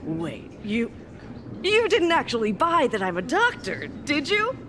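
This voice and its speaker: A woman's voice: high voice, wildly swooping pitch, unhurried, background noise, exaggerated voice. A woman voicing a sardonic, exasperated female doctor in a game, speaking in a sarcastic, weary way. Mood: recalling. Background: game music.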